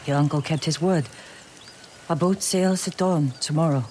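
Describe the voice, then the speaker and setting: Hardened female survivor, game drama, woman acting: weary, firm, regretful, hushed, light rainy noise behind. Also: deep voice, moderate pace, close to an everyday voice. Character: weary, guarded woman thief seeking new life.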